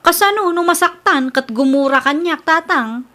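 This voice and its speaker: Young single mother, female actor, fairly high voice, polite yet firm, radio drama. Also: lively intonation, normal speed, bright, forceful tone. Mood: apologetic. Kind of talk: Ilocano radio drama acting.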